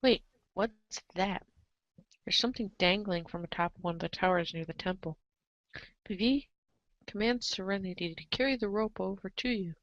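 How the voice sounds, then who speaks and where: A woman reads scripted story narration at a middling pitch. The recording is close and dry, with a slightly dull, lo-fi sound. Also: moderate pace, dry recording. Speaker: narrator in a readers' theater show.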